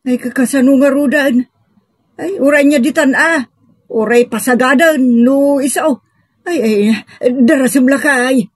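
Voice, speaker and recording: Woman playing a feisty, husky-voiced village woman. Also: high voice, a little slow, dry recording, studio quality. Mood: flustered. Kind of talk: Ilocano radio-style comedy drama acting.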